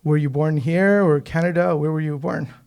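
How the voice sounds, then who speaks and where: A man's voice: on the high side, fairly even intonation, normal speed, gravelly, a little mellow, clear, resonant tone. A man hosting a podcast, asking curious, eager questions with lots of fillers. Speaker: podcast host. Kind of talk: casual podcast interview. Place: mosque studio.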